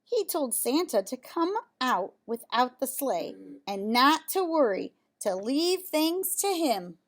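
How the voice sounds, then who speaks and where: A woman reading a children's picture book aloud, pausing for casual asides and questions to young listeners. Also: sits high, sing-song. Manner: pauses to comment on pictures and ask listeners questions.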